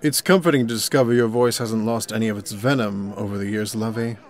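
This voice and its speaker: A man's voice: lowish voice, steady tone, quick pace, lightly acted. A man playing a vain, scheming prince, suave and self-satisfied, in a comic dub. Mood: smug, persuasive. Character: smug, scheming charming prince, vain smooth talker.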